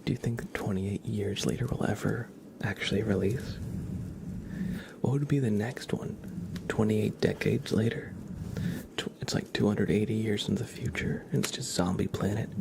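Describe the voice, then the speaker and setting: A man hosting an ASMR livestream, chatting casually and unhurriedly in a soft, gentle murmur that drifts into whispers. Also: lowish voice, steady tone, moderate pace, noisy background. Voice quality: soft, breathy half-whisper. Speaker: livestream host reading chat.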